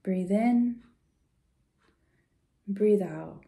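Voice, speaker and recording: A woman leading a guided visualization in a soft, gentle, soothing way, unhurried with pauses and at times breathy. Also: medium pitch. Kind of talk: guided meditation, soft slow instruction.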